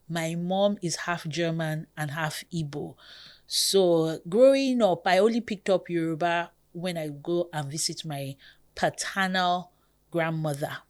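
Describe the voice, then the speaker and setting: Chatty Nigerian woman, low-ish voice. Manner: chatty, expressive storyteller who uses Nigerian expressions. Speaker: podcast host. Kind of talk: casual podcast monologue.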